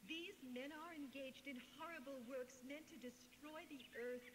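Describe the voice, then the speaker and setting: An actress playing a sorrowful, pleading earth goddess in a devotional audio drama, with a solemn, formal delivery. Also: female voice, high voice, moderate pace, heavy background noise, natural voice. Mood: grieving, pleading.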